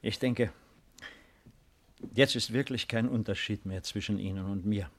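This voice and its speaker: An actor playing a proud, resigned baron awaiting arrest in a 1950s German radio play, speaking in a measured, formal, hesitant way. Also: male voice, fairly low pitch. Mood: reflective.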